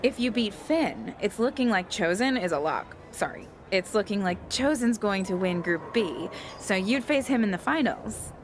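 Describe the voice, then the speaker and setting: An inquisitive woman interviewing wrestlers in a video game story, played by an actress. Her medium-pitched voice moves expressively, with a light joking manner. There is slight noise in the recording. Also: lively intonation, normal speed, few pauses, close to an everyday voice.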